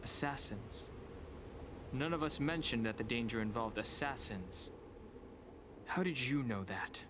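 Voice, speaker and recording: A man's voice: mid-range voice, a little slow, noisy background, lightly acted. A man voicing a stoic, suspicious young dragon warrior in a video game, speaking tersely and solemnly. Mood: cool, suspicious.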